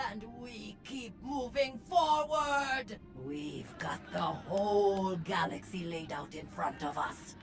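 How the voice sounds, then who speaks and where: An actress playing a bold, charismatic female gang leader in a video game, with a declamatory, deliberate, rallying delivery. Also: high voice, exaggerated voice. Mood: rousing, proud. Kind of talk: theatrical video game speech.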